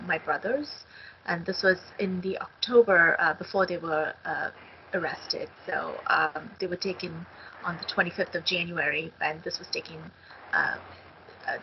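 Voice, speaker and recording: A middle-aged woman from India speaks through a headset on a video call, her voice a bit low and the audio dull and lo-fi. She talks in a halting way, filling pauses with ums. A faint hiss of room noise sits behind her. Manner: hesitant, many ums. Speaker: co-author. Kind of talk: casual talk on a video call. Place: home study with bookshelves.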